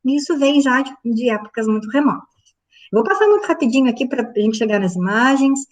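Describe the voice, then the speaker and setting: A woman from giving an academic lecture, pausing often with fillers and checking in with her listeners. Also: high-pitched, lively intonation, far from the mic. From southern Brazil. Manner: halting, many fillers, checks in with the audience. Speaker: university lecturer.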